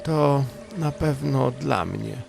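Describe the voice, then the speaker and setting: A man's voice: mid-range voice, expressive, takes it slowly, creaky, pitched above the performer's own voice, exaggerated voice. A man voices a young storybook troll boy. He muses to himself, earnest and full of hope. Music plays behind. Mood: hopeful musing. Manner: musing to himself. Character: earnest, hopeful young male troll child.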